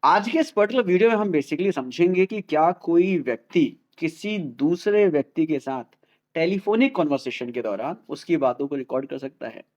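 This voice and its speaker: A man's voice: fairly high pitch, animated, normal speed, a little mellow, highs cut off. An Indian man presenting an explainer in a didactic way, repeating key points and mixing Hindi with English. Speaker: host of a legal explainer channel.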